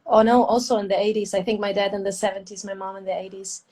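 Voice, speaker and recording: A woman born in Germany, a singer, talking relaxed in an interview with restarts and small grammar slips in English. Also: medium pitch, fast. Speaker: guest singer. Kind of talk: casual interview conversation. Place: on a video call from abroad.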